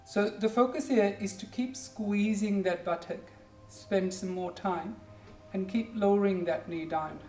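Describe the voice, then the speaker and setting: A yoga instructor in their forties from India, with an Indian accent and English as a second language, guiding a class with gentle, encouraging cues. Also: medium pitch, a little slow. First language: Hindi or Punjabi. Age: 40s. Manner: gentle, encouraging, keeps saying "good", simple repeated cues. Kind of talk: guided yoga class with pep talk. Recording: echoey room, distant mic, faint music.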